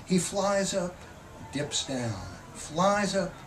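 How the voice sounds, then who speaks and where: A man who is a poet reading his own work aloud at a live reading, with expressive, theatrical emphasis. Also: sits high, very slow. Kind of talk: live poetry reading with brief spoken introductions. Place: public poetry reading in a bookstore. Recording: distant mic, noisy room ambience.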